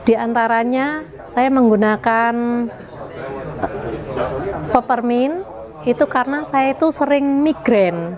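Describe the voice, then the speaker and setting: Slow, halting, higher-pitched woman. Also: a crowd in the background. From Kediri, East Java, Indonesia. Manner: halting, unrehearsed. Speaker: company employee giving a testimonial.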